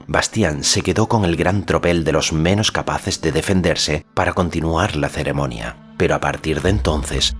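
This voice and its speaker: A man narrating a fantasy story as a scripted audiobook, measured and solemn in delivery. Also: extremely low pitch.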